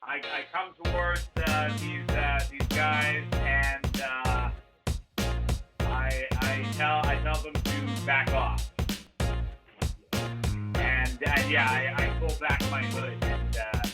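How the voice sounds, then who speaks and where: A man playing a tabletop game, speaking hesitantly with many pauses and restarts. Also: mid-range voice, level tone, loud music behind the voice. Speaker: player.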